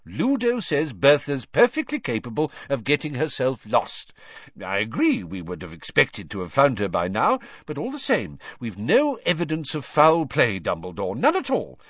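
A man's voice: medium pitch, huge pitch swings, normal speed, fairly bright tone, no echo, muffled recording, pitched above the performer's own voice, heavily acted. A man voicing a pompous, fussy, impatient older government minister in an audiobook. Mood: dismissive. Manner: blustering, emphatic, pompous. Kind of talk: audiobook character acting.

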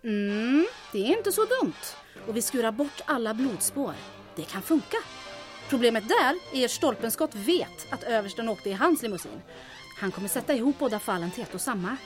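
A woman playing a brisk, decisive schemer who takes charge in a radio drama, speaking in a businesslike way. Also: on the high side, animated, music in the background, lightly acted. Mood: calculating.

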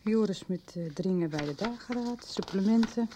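A curious, thoughtful character played by a woman in a radio soap. The voice is soft-toned and medium in pitch, hesitant and questioning, over faint noise. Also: animated, normal speed, close to an everyday voice. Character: curious, thoughtful woman following a book mystery. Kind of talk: 1990s radio soap acting.

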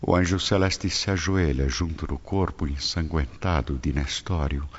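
A man's voice: extremely low pitch, moderate pace, breathy edge. A man with a Brazilian accent giving solemn scripted narration for a radio drama. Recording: dull lo-fi, music behind.

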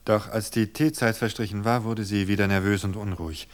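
A man narrating a novel in a measured storytelling manner, slowing for suspense. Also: lowish voice, fairly even intonation.

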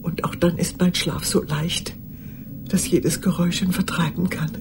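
An older woman acting in a radio drama monologue as a lonely old woman who speaks formally, haltingly and insistently. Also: fairly low pitch, steady tone, background noise, natural voice. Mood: urgent, apologetic. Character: lonely old woman confiding memories to a young lawyer.

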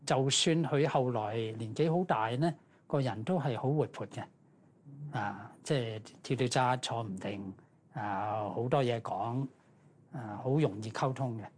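A man's voice: medium pitch, measured pace, husky. A man from Hong Kong with a local Cantonese accent, recalling old memories in an interview. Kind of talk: nostalgic recollection.

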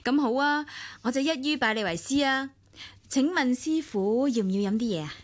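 An actress in a Cantonese radio drama playing a friendly, lively woman neighbour, with a Hong Kong accent and a light, welcoming manner. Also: high voice, fairly quick, no room sound, lightly acted. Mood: keen, playful.

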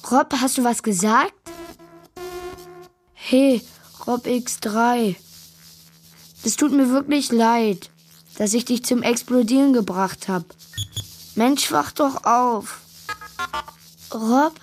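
A woman's voice: on the high side, slow, long pauses, faint music behind. A woman voicing a lonely, bored child in a children's radio play. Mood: dejected, regretful.

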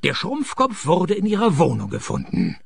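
A man acting a wry, deadpan private detective in a radio play. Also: high voice, normal speed, creaky, husky, dry recording, heavily acted. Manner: dry, deadpan. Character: wry, deadpan private detective narrating his own cases.

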